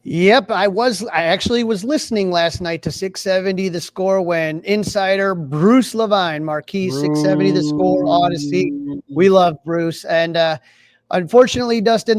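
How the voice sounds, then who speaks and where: A man co-hosting a sports podcast, talking in a casual, conversational style. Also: high-pitched. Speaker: podcast co-host.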